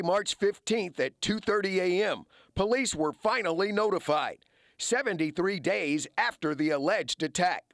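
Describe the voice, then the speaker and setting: A man's voice: high voice, no echo. A man with an American accent reporting in a broadcast news style as a television investigative reporter.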